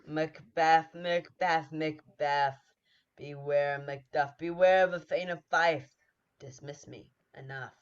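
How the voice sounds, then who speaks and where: A woman's voice: sits low, fairly even intonation, takes it slowly, smooth. A woman playing an ominous supernatural figure in a Shakespeare radio play, declaiming in incantations, on dull lo-fi audio. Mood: booming warning. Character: ominous witches and conjured spirit.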